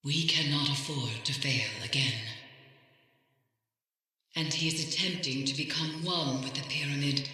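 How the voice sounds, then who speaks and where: A grave mystic from a video game, sharp and intense in tone. She speaks slowly and deliberately, with ominous formality, and her words ring out in a long, echoing hall. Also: low voice. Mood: solemn, urgent. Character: grave, mystical woman who battles dark nightmares. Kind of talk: dramatic video game dialogue. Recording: echoey with long hall reverb.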